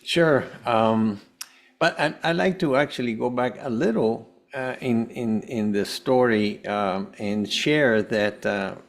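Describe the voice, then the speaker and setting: A man from Venezuela, a podcast guest, telling a personal story in a halting way, often repeating words. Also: medium pitch. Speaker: podcast guest, holistic health coach.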